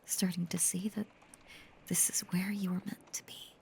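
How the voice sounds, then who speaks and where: A woman playing a possessive, obsessively devoted female werewolf lover in an intimate roleplay audio, breathy and whispery, with lingering pauses. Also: lowish voice, flat delivery, a little mellow. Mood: coaxing, possessive. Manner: slow, lingering, intimate delivery with long pauses.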